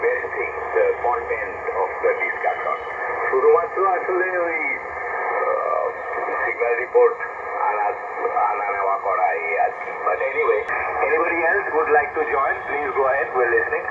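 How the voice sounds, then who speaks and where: A man's voice: mid-range voice, animated, takes it slowly. A man chatting casually as a ham radio net controller, mixing Bengali with English phrases. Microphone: amateur radio transmission. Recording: very noisy, narrow-band shortwave radio link.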